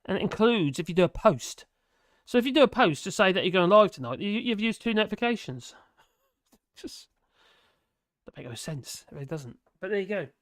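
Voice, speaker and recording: A hoarse live streamer, rambling in casual chat to viewers and making fun of themselves. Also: mid-range voice, animated, normal speed, pauses often, no echo, studio quality. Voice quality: rambling, self-deprecating.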